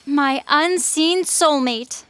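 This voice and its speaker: A woman's voice: high voice, takes it slowly, fairly bright tone, no room sound. A woman voicing a gleefully callous, sarcastic self-destruct program in an audio drama, snide and flippant. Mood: smitten.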